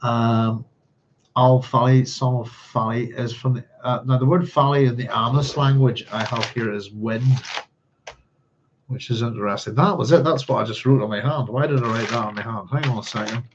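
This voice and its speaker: A man's voice: mid-range voice, steady tone, normal speed, background noise. Rambling Northern Irish man, slightly dull recording. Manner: rambling, scattered, often thinking aloud. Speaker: book club host. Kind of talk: informal read-along commentary on a novel.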